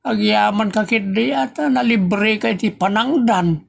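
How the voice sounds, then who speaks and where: A man's voice: sits high, measured pace, vocal fry, full character voice. A man with an Ilocano accent playing a grumbling, exasperated village father in a comedy audio drama, complaining in exclamations. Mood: indignant.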